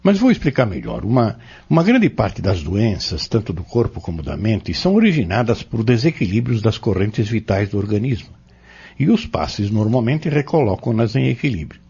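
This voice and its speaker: An actor playing an earnest, patient spiritual mentor in an audio drama, courteous and explanatory. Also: male voice, on the low side, very animated, normal speed, vocal fry, mellow, breathy edge, muffled recording, exaggerated voice. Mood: patient, instructive. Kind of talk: audio drama dialogue, didactic.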